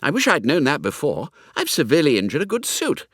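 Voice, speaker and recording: A man voicing a breezy, chatty young gentleman with an upper-class English accent and slangy manner in a comic audiobook. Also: a little high, huge pitch swings, a little fast, husky, no echo, clean studio sound, voice pushed up, heavily acted. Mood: exasperated. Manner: effusive, slangy, blithe. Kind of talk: comic audiobook character acting.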